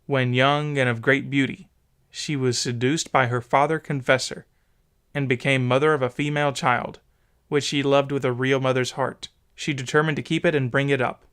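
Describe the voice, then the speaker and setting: A man narrating an old memoir as a dramatic audiobook, solemn, measured and theatrical. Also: medium pitch, a bit bright, clear, resonant tone.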